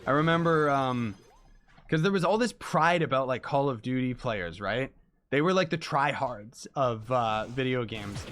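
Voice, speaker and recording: A young man chatting casually with viewers while he plays a game, hoarse and losing his voice from being sick, talking in an excitable, laid-back way. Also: mid-range voice, a little slow, faint music behind. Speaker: game streamer. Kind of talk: casual livestream chat while gaming.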